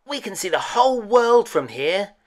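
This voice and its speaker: Guy doing a sweet little storybook farm boy, high voice, eager and earnest. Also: very animated, moderate pace. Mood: delighted.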